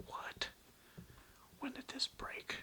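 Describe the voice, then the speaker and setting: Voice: takes it slowly, sharp tone. A young adult ASMR creator whispering breathily in a casual, slangy, rambling way with some swearing. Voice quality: breathy, mostly unvoiced whisper. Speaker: ASMR video creator and host.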